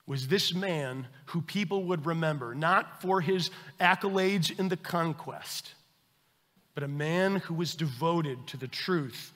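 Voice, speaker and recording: A man preaching a sermon as pastor, with earnest emphasis that swells and then drops to a hushed tone. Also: fairly high pitch, in a large hall. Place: church sanctuary.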